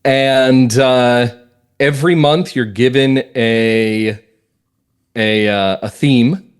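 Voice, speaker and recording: An American man talking as a guest writer in a conversational interview, explaining things reflectively and emphatically in short measured phrases. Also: medium pitch, steady tone, clean tone. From northern Massachusetts, US. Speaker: guest playwright and comedy writer.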